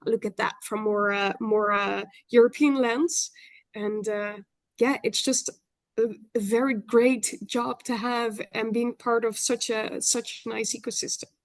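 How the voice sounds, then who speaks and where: A woman with a Dutch accent, speaking as an industry analyst on a webinar panel, measured and thoughtful with frequent fillers. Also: on the high side, no echo. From Netherlands. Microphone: video call.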